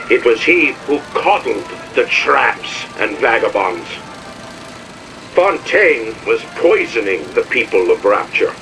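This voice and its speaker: An actor playing a pompous industrialist ruler, delivering theatrical, oratorical propaganda with emphatic grandeur. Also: male voice, medium pitch, rough recording. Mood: stern, indignant. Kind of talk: propaganda address, theatrical game voice acting.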